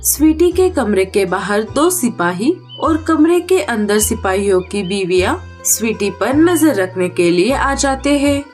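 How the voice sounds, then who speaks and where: Lady narrating a kids story, highish voice, takes it slow, bit of music behind. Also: very animated, a little mellow. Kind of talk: scripted children's story narration.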